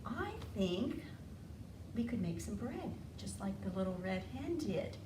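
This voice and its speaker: A woman's voice: mid-range voice, very animated, unhurried. A woman hosting storytime for young children, coaxing them along with questions as she tells stories and rhymes. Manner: coaxing, asking the listeners questions. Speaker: children's library storytime host. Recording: echoey room, distant mic, clear noise.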